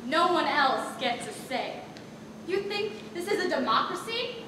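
Girl playing slow, menacing teen tyrant on stage. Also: high voice, sing-song. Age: teens. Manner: deliberate, threatening, bombastic. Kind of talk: high school stage comedy acting. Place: large theater stage, filmed from the audience. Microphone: far camera. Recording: far-off echoey auditorium, noisy.

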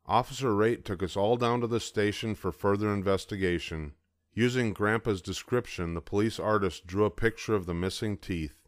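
Older male reader for a kids' storybook, low voice, steady and even, very dry close sound. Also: moderate pace, no room sound. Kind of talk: reading a picture book aloud.

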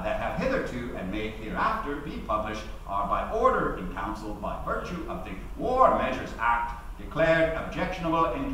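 Male actor as eloquent, defiant early-1900s labour orator on trial, stage reading, lively intonation, distant-miked in a reverberant hall. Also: mid-range voice. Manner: formal, rhetorical, emphatic. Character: 1919 labour activist defending himself at trial, eloquent and defiant. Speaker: actor giving a dramatic reading. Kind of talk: theatrical courtroom defence oration. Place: large hall, at a podium. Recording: echoey hall, distant mic, faint noise.

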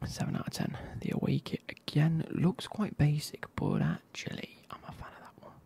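A man, reviewing football kits in a soft, casual whisper with wry asides. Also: on the high side, wildly swooping pitch, moderate pace, vocal fry, husky.

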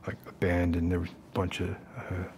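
Actor as a low, gravelly, unstable survivalist loner. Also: male voice, completely flat delivery, a little mellow, slight background noise. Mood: musing, uneasy. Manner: halting, rambling, casual. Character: unstable, falsely gentle self-appointed protector, survivalist loner. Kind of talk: soft-spoken ASMR roleplay acting.

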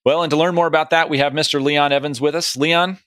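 A man's voice: fairly high pitch, fairly even intonation, fast talker, fairly bright tone, no echo, clean studio sound. A man cohosting an aviation podcast, chatty and upbeat, with a few jokes between topics. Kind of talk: podcast monologue and interview.

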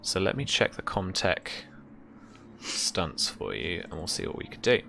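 A young man casually narrating as game master and explaining rules, in a hesitant, halting way, on a video call. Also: low-pitched, big pitch swings, vocal fry, pushed and bright. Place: indoor room at home, over video call. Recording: faint background noise.

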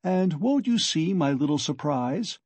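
A male narrator plays a sly examining lawyer from a novel. The voice sits fairly high, with a soft husky edge and a very dry, close sound. He is ironical and teasing, full of mocking good humor. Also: animated, measured pace, no room sound. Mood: playful, gloating. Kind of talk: dramatic audiobook character reading.

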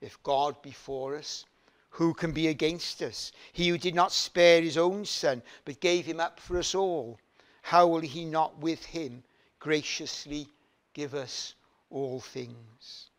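An older man from Wales preaching a sermon at a church lectern, building emphasis through repeated phrases. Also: fairly high pitch. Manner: slow, emphatic preaching with rising repeated phrases and hand gestures. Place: church hall, standing at a lectern.

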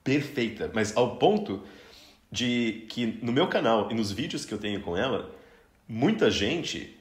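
A man's voice: mid-range voice, big pitch swings, a little slow, echoey room. A man in his thirties from Brazil hosting a casual interview, chatty, with frequent restarts and easy laughter. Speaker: podcast host interviewing a guest.

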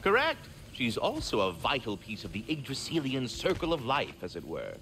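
A man's voice: mid-range voice, huge pitch swings, moderate pace, fairly bright tone, slight background noise. A man voicing a chatty, courtly talking squirrel in a video game, fussy and affable with pompous, theatrical enunciation. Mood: cheerful, instructive. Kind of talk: video game character acting.

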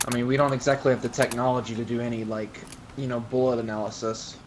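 A man's voice: mid-range voice, little pitch movement, quick pace. A man improvising in-character in an online roleplay game, casual and hesitant as he thinks aloud over voice chat. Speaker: roleplay gamer playing an investigator. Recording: noisy gaming voice chat.

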